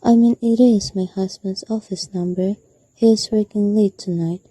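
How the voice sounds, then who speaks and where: A woman's voice: medium pitch, fairly even intonation, moderate pace, dark tone, breathy edge, no echo, natural voice, rough recording. A young woman acting in a student radio drama as an anxious, demanding invalid wife alone at night. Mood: insistent.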